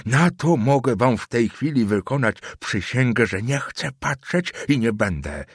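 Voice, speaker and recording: A man voicing a cranky old male official who rants in a shrill, slow voice. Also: huge pitch swings, breathy edge, no room sound, studio quality, exaggerated voice. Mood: furious. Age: older. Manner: shrill, slow, shouting tirades. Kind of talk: audiobook character voice.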